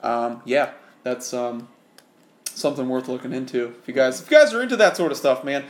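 A man's voice: medium pitch, fairly quick. Middle-aged male co-host, childlike voice, loose rambling delivery, echoey room. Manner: rambling, self-interrupting, animated storytelling. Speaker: podcast co-host. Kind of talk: casual chat.

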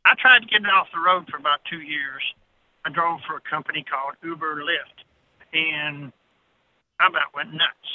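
Veteran trucker guest, male, 60s. Folksy, plainspoken storyteller, slightly high pitch, thin phone-call audio. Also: normal speed, no echo. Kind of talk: casual anecdotal storytelling. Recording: narrow tinny phone-line sound.

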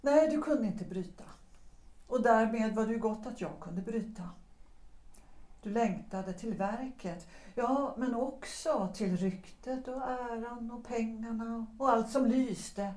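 An actress playing a former singer who calmly and deliberately explains why she left her lover. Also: on the high side, lightly acted. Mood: reproachful. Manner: measured, deliberate, quietly reproachful. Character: woman, a former opera singer, calmly accusing. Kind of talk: audio drama acting. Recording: roomy echo.

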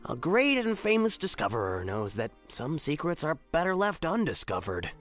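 A man voicing a kindly, worried male penguin explorer who speaks softly and earnestly. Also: a little high, wildly swooping pitch, normal speed, soft background music, voice pushed up. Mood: sad. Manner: soft, earnest.

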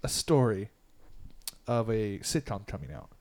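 A man's voice: on the low side, sing-song, measured pace, darkish tone. A man co-hosting a podcast in casual chat, cracking wry jokes and asides. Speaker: podcast co-host.

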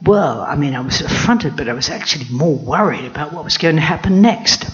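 A woman narrating in character as a proud, wry lioness queen in a storybook tale, heard in a dull lo-fi recording. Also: low voice. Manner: wry, lively, drawn-out delivery.

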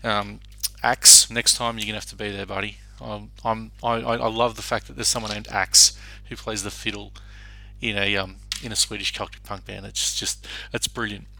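A man's voice: on the low side, level tone, moderate pace, gravelly, sharp tone, low-quality recording. A man hosting a music radio show, introducing songs in a chatty way with frequent fillers and restarts. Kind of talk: casual music show presenting.

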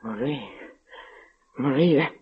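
Muffled old radio, a haunted obsessed guy, slow stammering delivery, gravelly creak, horror play. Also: sits high, sing-song, exaggerated voice. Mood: agitated. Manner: halting, breathy stammering, repeating words. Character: fearful, obsessed haunted man, unravelling into mania. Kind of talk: 1940s radio horror drama acting. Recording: muffled old radio recording with background noise.

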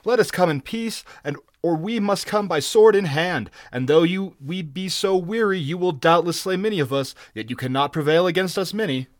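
A man's voice: sits high, moderate pace, hardly any pauses, no echo, studio quality, pitched above the performer's own voice, lightly acted. A man voicing a lean, weary pirate who clamors insistently in archaic phrasing.

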